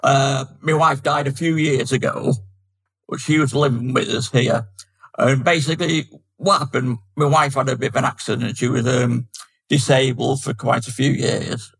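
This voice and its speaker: Husky, gravelly older man with a Manchester accent. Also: medium pitch, fairly even intonation, a bit bright, poor audio. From Manchester, England. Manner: chatty, friendly, fills pauses with um. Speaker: podcast guest, resident. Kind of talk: casual chat.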